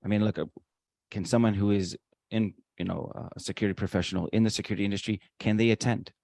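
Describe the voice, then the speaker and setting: Male podcast co-host, quick and emphatic talker, lowish pitch, conversational with a promotional streak. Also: fairly even intonation, creaky, darkish tone, no room sound. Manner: talkative, emphatic, self-described showman. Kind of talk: conversational interview, promotional asides.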